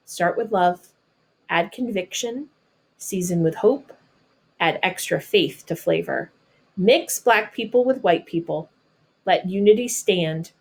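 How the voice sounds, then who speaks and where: A woman's voice: medium pitch, darkish tone. Woman reading a picture book aloud. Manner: deliberate, explains simply as if to children. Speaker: storybook reader. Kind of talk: read-aloud of a children's picture book with explanatory asides.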